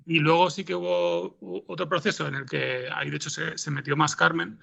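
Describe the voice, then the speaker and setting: Gravelly-voiced Spanish man, bright and hesitant. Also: fairly high pitch, steady tone, a little fast. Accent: Spanish from Spain. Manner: hesitant, rambling, with frequent repeated words and tag questions. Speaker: interview guest, podcast scriptwriter. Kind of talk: unscripted interview answers.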